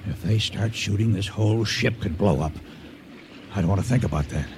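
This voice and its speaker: An actor playing a gruff ship captain in a radio drama, gravelly, terse and commanding under pressure. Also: male voice, on the low side, a little fast, lightly acted. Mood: tense, commanding. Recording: very noisy sea and battle effects.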